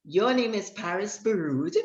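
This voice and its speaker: A woman's voice: medium pitch, expressive, unhurried, a little mellow. A woman hosting an interview show, introducing and questioning a guest in a conversational style. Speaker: host of an interview channel. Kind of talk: warm-up introduction and interview chat. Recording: echoey room, dull audio.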